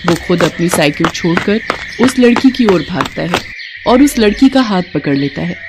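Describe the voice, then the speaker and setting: A woman's voice: fairly low pitch, animated, normal speed, heavy background noise. Woman narrating a scripted story.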